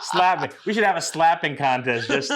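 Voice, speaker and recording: A middle-aged man with a somewhat high voice hosts a casual talk show. He chats loosely with his guest, laughs easily and drifts into rambling comic asides. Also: animated, moderate pace, a crowd in the background. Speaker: talk show host, stand-up comedian. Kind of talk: casual comedic interview.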